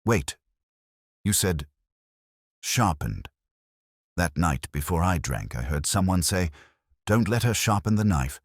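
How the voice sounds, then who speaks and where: A man's voice: sits low, big pitch swings, slow, long pauses, dry recording, studio quality, full character voice. A man voicing an anxious, earnest actress in a storybook, speaking hesitantly. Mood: uneasy.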